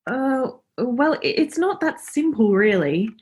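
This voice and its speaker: A woman's voice: sits high, very animated, unhurried, a little mellow, husky, full character voice. A woman acting a chatty, cheeky, friendly character in a radio play, speaking informally and forthrightly. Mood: evasive. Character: Laura, chatty, cheeky, friendly young woman befriending Death.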